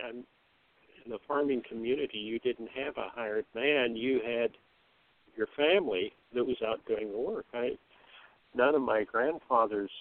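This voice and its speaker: A middle-aged man talking casually and reflectively in a group discussion, in a halting way. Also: mid-range voice. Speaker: radio play cast member. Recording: thin phone-like call audio.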